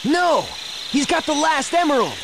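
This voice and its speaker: Cocky, animated young hedgehog hero. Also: male voice, very high-pitched, moderate pace, vocal fry, heavy background noise, exaggerated voice. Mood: startled. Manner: breezy, slangy. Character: cocky young male blue hedgehog hero, laid-back and brash. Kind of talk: video game cutscene acting.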